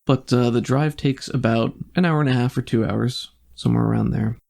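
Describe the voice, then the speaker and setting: Gravelly man running a tabletop game. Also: mid-range voice, steady tone, brisk, darkish tone. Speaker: game master. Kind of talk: improvised narration of a tabletop roleplaying game.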